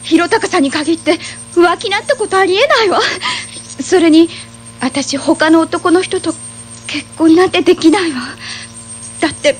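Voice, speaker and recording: A sweet young daughter in love in a radio comedy. Her voice is extremely high, cutesy and pleading, yet headstrong, with faint music underneath. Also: unhurried. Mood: protesting. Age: young adult. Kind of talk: comic radio drama acting.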